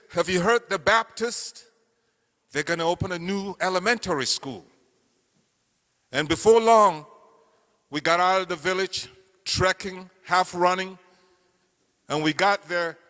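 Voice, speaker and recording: An older man from Cameroon giving an earnest campaign speech, telling his life story with slow, deliberate emphasis. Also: sits high, bright, forceful tone. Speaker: political candidate speaking at a meeting. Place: meeting hall. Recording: reverberant hall.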